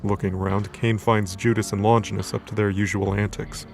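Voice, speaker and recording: A man's voice: fairly low pitch, level tone, a little fast. A man reading scripted, dramatic narration for an audio drama story. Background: background music.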